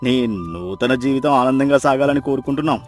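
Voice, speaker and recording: A man's voice: mid-range voice, a little fast, soft background music, voice pushed down, lightly acted. A man voicing a kindly, approving village neighbour in a moral story, folksy and congratulatory. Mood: pleased. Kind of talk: Telugu moral story character acting.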